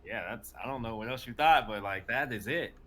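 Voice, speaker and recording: A man's voice: mid-range voice, a little fast, fairly bright tone. A young man in casual, emphatic livestream chat. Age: young adult.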